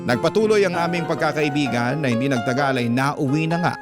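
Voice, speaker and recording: A man with a Filipino accent reading a scripted first-person love story for radio in an earnest, measured way. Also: fairly high pitch. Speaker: storyteller reading a listener's letter. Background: guitar music bed.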